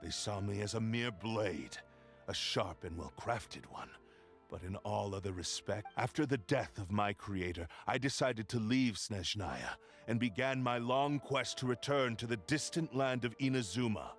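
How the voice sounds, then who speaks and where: An actor playing an arrogant, obsessive cursed sword spirit in a video game, haughty and menacing, with gravelly theatrical delivery. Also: male voice, lowish voice, lively intonation, measured pace, faint music behind. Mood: proud, brooding. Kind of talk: dramatic video game voice acting.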